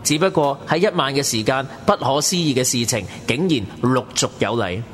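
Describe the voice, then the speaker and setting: A man's voice: medium pitch, moderate pace, a bit bright, a little hiss or hum, close to an everyday voice. A man playing a wry, slangy young narrator in a Cantonese radio drama. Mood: wry narrating. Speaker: narrator and character.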